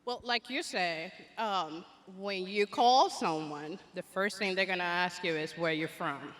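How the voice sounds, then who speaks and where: A man with a Cuban Spanish accent offers calm, measured advice into a hall mic. His voice is high and bright, and the hall adds a long reverb. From Cuba. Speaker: seminar attendee giving advice.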